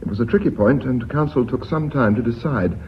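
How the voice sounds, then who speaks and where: A man's voice: mid-range voice, a little fast, lightly acted. A man acting in a radio drama as a precise, deferential company secretary. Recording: dull radio recording, faint hiss.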